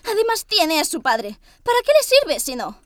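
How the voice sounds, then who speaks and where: A woman's voice: very high voice, sing-song, moderate pace, a bit bright, dry recording, clean studio sound, full character voice. An actress playing a vain, spoiled wealthy young lady who complains petulantly in a radio drama. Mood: indignant.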